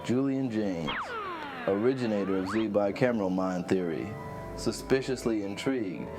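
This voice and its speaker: A man's voice: on the low side, moderate pace, close to an everyday voice. A man playing a cryptic oracle in a radio play, declaiming in terse phrases. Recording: loud music behind the voice.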